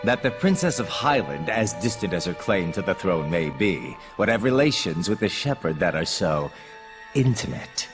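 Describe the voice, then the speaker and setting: An actor playing a snide, formal and condescending government messenger in a fantasy game. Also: male voice, low voice, big pitch swings, moderate pace. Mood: smug, mocking. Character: snide, insinuating male messenger of a fantasy chancellor. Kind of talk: dubbed fantasy game acting. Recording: music behind the voice.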